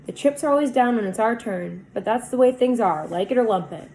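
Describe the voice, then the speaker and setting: A woman voicing a cocky, tough but good-natured teenage boy with a forceful manner. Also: on the high side, expressive, fairly quick, a little hiss or hum, pitched above the performer's own voice, low-quality recording. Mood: easygoing. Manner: forceful, scolding.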